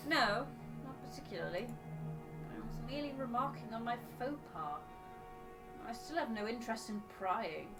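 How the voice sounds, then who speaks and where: A woman's voice: a little high, lively intonation, moderate pace, over loud music. Woman voicing an aloof, stiffly formal crew member. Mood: detached, formal. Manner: precise, stiffly polite, detached. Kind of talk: voiced game dialogue.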